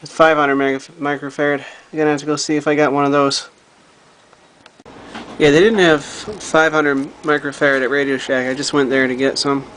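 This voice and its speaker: A man's voice: a little high, flat delivery, normal speed, a bit bright, rough recording. A man talking informally and thinking aloud as a hobbyist doing a hands-on electronics repair, filming himself with a handheld camera. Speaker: hobbyist repairing vintage audio gear. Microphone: handheld camera he operates himself.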